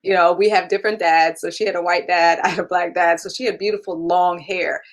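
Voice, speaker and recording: A woman's voice: lowish voice, steady tone, brisk. A woman in her thirties from Germany, raised speaking German, telling her life story as a guest in a casual interview, candid, with frequent laughs and fillers.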